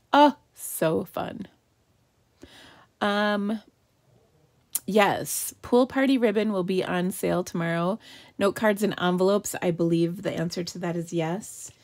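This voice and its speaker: A woman's voice: medium pitch, slow, pauses often, darkish tone. A woman hosting a live card-making tutorial at her craft table, chatting in an upbeat way and answering viewer questions as she works.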